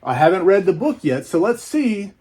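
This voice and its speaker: A man's voice: high voice, big pitch swings, normal speed, mellow, slightly rough. A man chatting casually through an unboxing as a book collector, in a folksy, rambling, informal way.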